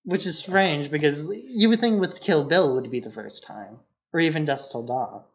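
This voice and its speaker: Male podcast co-host, slightly high voice, informal and loose film chat, somewhat muffled audio. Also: lively intonation, moderate pace. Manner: informal, peppers talk with "like". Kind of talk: casual film discussion.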